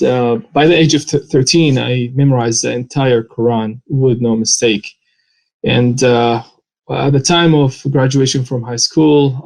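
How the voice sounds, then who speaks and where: A man, from Arabia, telling his story as an interview guest with many hesitant fillers. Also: mid-range voice. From Saudi Arabia. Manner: frequent hesitant fillers, soft laughs. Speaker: guest, immigrant ministry worker. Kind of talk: personal testimony in interview.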